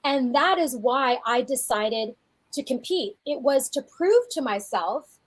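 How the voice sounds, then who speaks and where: A woman in her forties, a fitness coach co-hosting a podcast, talking in a chatty, animated way with frequent restarts. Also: high-pitched, measured pace. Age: 40s. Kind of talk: casual podcast chat.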